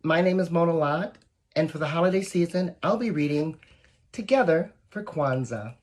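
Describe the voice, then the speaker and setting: Storybook reader, very animated intonation, expressive, talks directly to the kids between lines. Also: mid-range voice, normal speed. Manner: expressive, addresses young listeners directly. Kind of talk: children's picture book read-aloud with asides.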